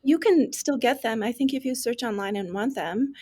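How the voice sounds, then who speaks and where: Earnest woman guest, fairly high voice. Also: moderate pace, a little mellow. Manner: earnest, restarts often, many "like" and "um". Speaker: guest expert on deaf education. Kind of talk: conversational interview.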